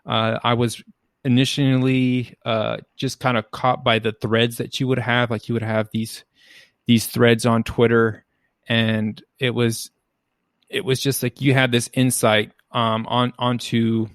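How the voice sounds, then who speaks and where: A man hosting an interview podcast in a mid-pitched, fairly monotone voice. He talks haltingly and keeps searching for the right words. The sound is dry and close, with no room echo. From United States. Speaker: podcast host.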